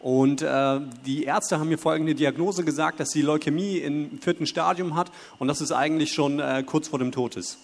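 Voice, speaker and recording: A man's voice: on the high side, steady tone, normal speed, vocal fry, few pauses. A man interpreting aloud in a church, delivering the message phrase by phrase and sometimes correcting himself. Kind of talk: consecutive interpreting into German. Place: church hall before a congregation. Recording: long hall reverb.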